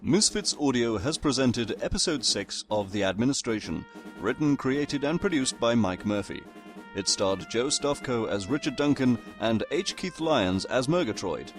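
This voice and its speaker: Man as a radio comedy announcer, lively intonation, unflappable, dry wit, clear music behind. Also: medium pitch, normal speed, lightly acted. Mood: formal announcing. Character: wry, unflappable male radio-show announcer. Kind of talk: scripted announcing in audio comedy.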